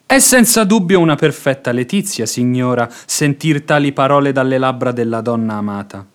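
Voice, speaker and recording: A man's voice: medium pitch, animated, normal speed, clear, resonant tone, natural voice. Unctuous pious hypocrite suitor played by a man. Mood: honeyed, cautious. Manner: flowery, formal, unctuous. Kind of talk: theatrical radio drama acting of classic comedy.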